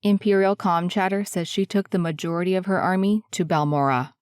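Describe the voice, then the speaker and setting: An actress playing a blunt, hard-pressed military officer in a sci-fi audio drama. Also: female voice, on the low side, fairly even intonation, brisk, clean tone, no room sound, clean studio sound, close to an everyday voice. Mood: grim, urgent.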